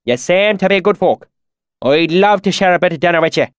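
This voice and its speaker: A man's voice: high-pitched, fairly quick, clean tone, no room sound, voice pushed up, lightly acted. A weathered mountain swineherd, put on by a man, talking in a thick, oily backwoods dialect. The voice sits well above the reader's own and sounds strained and set apart from him. Dry, close recording. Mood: friendly.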